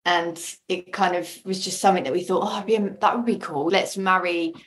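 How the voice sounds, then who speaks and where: A woman's voice: lowish voice, fairly even intonation, a little fast. A woman chatting casually as an interview guest about her work as a producer. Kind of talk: casual chat.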